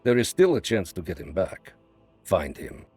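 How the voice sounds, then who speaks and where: Low-voiced, cold spymaster. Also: male voice, big pitch swings, a little mellow, slightly rough, faint music behind, full character voice. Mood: cold, businesslike. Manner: measured, deliberate, menacing. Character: cold, calculating Soviet spymaster, a man who plots patiently. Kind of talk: video game cutscene acting.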